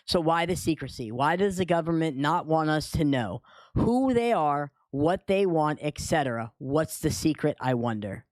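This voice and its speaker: A man's voice: fairly high pitch, lively intonation, moderate pace, a little mellow, no room sound. A man hosting a livestream, chatting casually and relaying comments from viewers. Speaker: livestream host.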